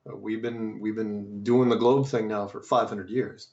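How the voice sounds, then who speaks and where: A man hosting a show who reads and answers listener mail in a casual, rambling way, his voice slightly hoarse and strained, asking many rhetorical questions. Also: fairly low pitch, level tone, unhurried, darkish tone, lo-fi sound. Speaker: podcast host reading listener mail.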